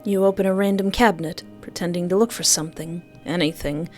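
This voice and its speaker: Woman doing a game playthrough, lowish voice, slow dramatic reading, music behind her. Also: fairly even intonation. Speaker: gaming video host reading a visual novel aloud. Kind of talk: playthrough commentary and dramatic narration.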